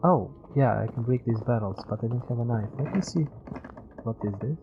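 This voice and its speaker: A man streams a video game and talks his way through it in a casual voice at an ordinary pitch. He thinks aloud, mutters to himself and swears freely. Music plays clearly behind him. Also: fairly quick, dull audio. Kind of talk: casual live gameplay commentary.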